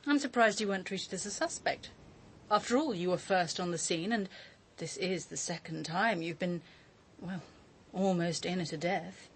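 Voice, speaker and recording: An actress playing a sharp, accusing woman who speaks in a pointed, challenging way in a radio drama. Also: fairly low pitch, normal speed, a little hiss or hum, close to an everyday voice. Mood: needling.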